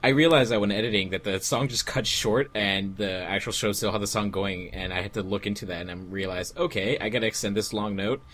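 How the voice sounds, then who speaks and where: A man's voice: lowish voice, fairly quick, few pauses, a bit bright. A man chatting casually as an editor on a group watch-along commentary track.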